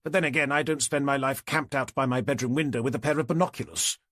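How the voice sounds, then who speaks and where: A man's voice: medium pitch, fast talker, a bit bright, voice pushed up, natural voice. A man voicing an indignant, pompous middle-aged neighbour in an audiobook, with a clipped British accent. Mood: testy. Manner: pompous, exasperated.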